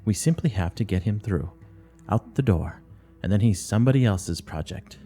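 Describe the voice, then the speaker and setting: A man's voice: lowish voice, expressive, moderate pace, dark tone, faint music behind, close to an everyday voice. A man voicing a strict older nun who runs a school, speaking curtly and moralizing in a play reading. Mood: severe, lecturing. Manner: curt, severe, moralizing.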